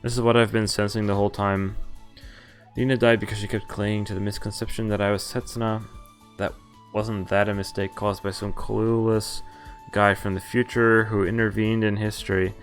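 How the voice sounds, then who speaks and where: A man's voice: on the low side, little pitch movement, moderate pace. A man streaming a visual novel, reading its narration and dialogue aloud in a casual, lightly acted way, with offhand reactions. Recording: music playing behind the voice. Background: game music.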